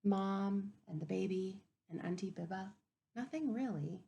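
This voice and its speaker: A woman teacher reading a picture book aloud to young children in an expressive, instructive way, pausing to ask questions. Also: mid-range voice, vocal fry, muffled recording. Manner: animated, instructive, asking the listeners questions.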